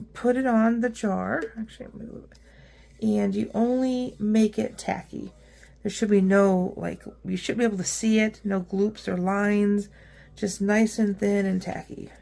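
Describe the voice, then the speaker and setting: A woman with a rough, hoarse voice hosting a casual craft how-to, chatty and upbeat with frequent ums and uhs as she works at her craft table. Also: medium pitch, a little mellow. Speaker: craft tutorial host.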